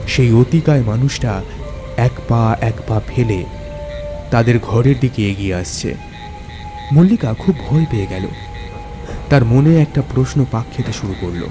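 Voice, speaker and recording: A man tells a scripted horror tale as its narrator. His voice is mid-pitched and dramatic, with music clearly playing underneath. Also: measured pace, pauses often. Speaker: storyteller, narrator.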